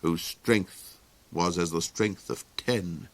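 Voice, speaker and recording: A dry storybook spy who serves a villain, voiced by a man. He speaks low and deadpan. Every line comes out sardonic and unimpressed. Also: slow pace.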